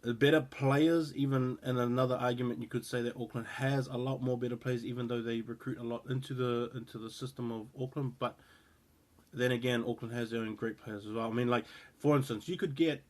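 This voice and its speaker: A man's voice: medium pitch, fairly even intonation, brisk, darkish tone. A man in his thirties with a New Zealand accent, giving his opinion in casual talk to the camera, rambling with frequent fillers and restarts. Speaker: rugby show host.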